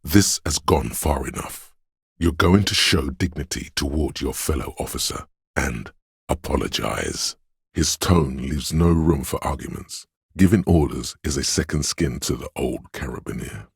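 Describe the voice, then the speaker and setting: An actor playing a stern, proud inner voice of authority in a video game, narrating in a grave, measured, commanding manner. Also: male voice, a bass voice, lively intonation, vocal fry, husky, no room sound, studio quality, full character voice. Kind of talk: dramatic game narration in second person.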